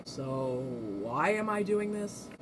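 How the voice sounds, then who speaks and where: A man's voice: high voice, lively intonation, takes it slowly, very noisy. A man playing a snarky, reluctant dockworker lad, blunt and playful, in an amateur cartoon parody. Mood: annoyed, sarcastic.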